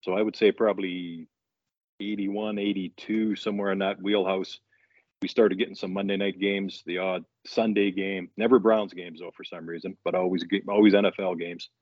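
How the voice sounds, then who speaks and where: Low-voiced older man from Canada. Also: flat delivery, normal speed, darkish tone, no room sound. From central Canada. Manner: easygoing, storytelling. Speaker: podcast guest. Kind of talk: casual podcast chat. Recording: dull dry lo-fi audio.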